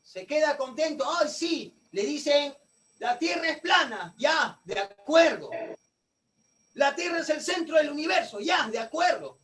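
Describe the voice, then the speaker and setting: A man's voice: very high-pitched, expressive, unhurried, a bit bright, far from the mic. A man lecturing a class, asking questions and answering them himself. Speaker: teacher.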